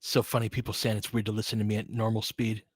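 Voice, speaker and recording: A man's voice: lowish voice, flat delivery, fast, no echo, clean studio sound. A man hosting a casual live basketball stream, mixing play-by-play with conversational, slangy analysis as he thinks aloud. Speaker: host and play-by-play commentator.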